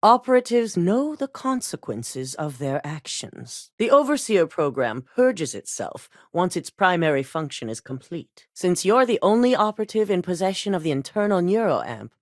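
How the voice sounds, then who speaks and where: A cold corporate executive. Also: female voice, lowish voice, very animated, moderate pace, hardly any pauses, no room sound, clean studio sound. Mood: cold authority. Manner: curt, authoritative, businesslike. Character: cold, commanding female corporate executive, middle-aged. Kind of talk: video game dialogue.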